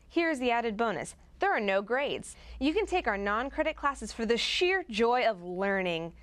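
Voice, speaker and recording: Chirpy, gushing woman presenter. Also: medium pitch. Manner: chirpy, gushing, tongue-in-cheek. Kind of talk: scripted promotional presentation.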